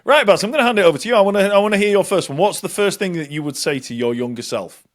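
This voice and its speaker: A man's voice: high-pitched, expressive, very fast. A man, co-hosting a casual podcast, joking, swearing and laughing a lot. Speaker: podcast co-host. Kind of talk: casual banter.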